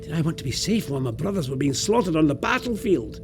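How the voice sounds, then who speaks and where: Anguished young Scottish lad, high voice, very animated, halting, guilt-ridden, audio drama, faint music behind. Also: male voice. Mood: guilty, distraught. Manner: halting, emotional. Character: anguished young male piper haunted by battlefield guilt.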